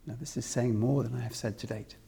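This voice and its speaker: A man from Wales giving a spiritual discourse to a live audience as the teacher, speaking deliberately with repeated phrases and rhetorical questions. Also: medium pitch, fairly even intonation, creaky, mellow, breathy edge. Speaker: spiritual teacher leading a talk. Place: reverberant room with an audience. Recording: long hall reverb.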